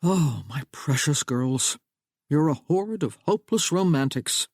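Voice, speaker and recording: A man voicing an older American father with a booming drawl, proud and protective of his daughter. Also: lively intonation, measured pace, darkish tone, dry recording, clean studio sound. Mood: fatherly.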